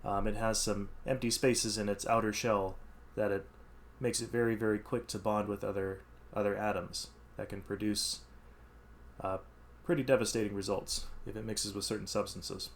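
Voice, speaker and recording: A man's voice: lowish voice, steady tone, normal speed, gravelly. A man reading educational text aloud as a teacher, stressing key words and adding informal explanatory asides.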